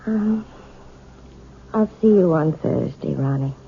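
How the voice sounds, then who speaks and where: An actress playing a timid, weary, anxious wife in a 1970s radio drama, speaking hesitantly and apologetically. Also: female voice, deep voice, lively intonation, takes it slowly, gravelly, a little hiss or hum, heavily acted. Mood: gently firm. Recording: muffled, tinny old radio broadcast.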